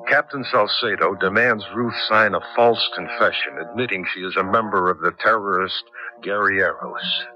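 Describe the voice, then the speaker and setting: A man's voice: lowish voice, moderate pace, faint music behind. A man announcing with dramatic, scripted narration for a radio drama. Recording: dull lo-fi old radio broadcast.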